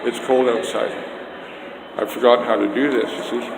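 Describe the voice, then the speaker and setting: A loud older man rants with emphatic indignation about politics, recording himself on a phone held out on a selfie stick. His voice sits at a middle pitch and rings through an echoey hallway with a long tail of reverb. Steady noise hums behind him. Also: normal speed, creaky. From Canada. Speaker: vlogger. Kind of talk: rambling political vlog. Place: outdoors then indoor stairs and hallway. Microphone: handheld phone on a selfie stick.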